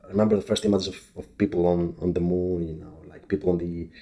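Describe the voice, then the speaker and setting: A man's voice: sits low, flat delivery, dark tone. A man from Greece in his thirties with a Greek accent, chatting as a podcast guest and often restarting his sentences. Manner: halting, many restarts and fillers. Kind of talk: casual interview chat.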